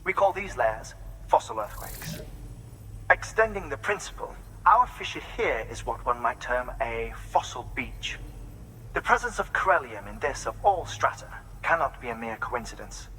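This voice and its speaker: Scholarly scientist, mid pitch, crisp forceful tone, measured didactic exposition, video game cutscene, faint noise. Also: male voice, very animated, low-quality recording. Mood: earnest. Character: earnest, scholarly male scientist explaining his findings.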